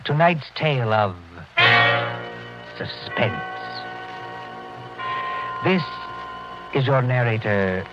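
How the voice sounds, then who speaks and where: A man narrating a scripted 1940s radio program as host, ominous and deliberate. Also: mid-range voice, animated. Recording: dull old radio recording, music behind. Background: music.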